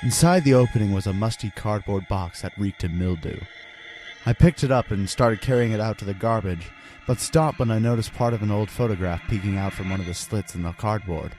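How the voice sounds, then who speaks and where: A man performs a haunted family man confessing his ordeal in a creepy horror story. His low voice is earnest, theatrical and full of foreboding, with music clearly playing underneath. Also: brisk, a little mellow, lightly acted. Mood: hushed dread. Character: haunted husband and father confessing a terrifying ordeal. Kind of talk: dramatic first-person horror narration. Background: background music.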